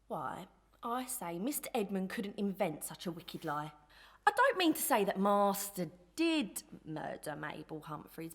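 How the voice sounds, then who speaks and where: A woman playing a lively, gossiping young servant in an audio melodrama, chatty and emphatic, pert but good-hearted. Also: medium pitch. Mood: confiding.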